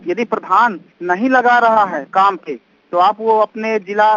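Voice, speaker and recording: A man's voice: high-pitched, measured pace, bright, forceful tone, no room sound. A male interviewee tells his own experience. The recording is dull and lo-fi, like a radio feed. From Kaushambi, Uttar Pradesh, India. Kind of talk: spoken first-person testimony.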